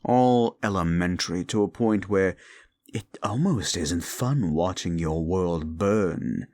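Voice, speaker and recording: A man voicing a scornful male demon bound by a wish contract, talking down to the listener in a slow, mocking audio roleplay. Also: deep voice, a little mellow, dry recording. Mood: gloating.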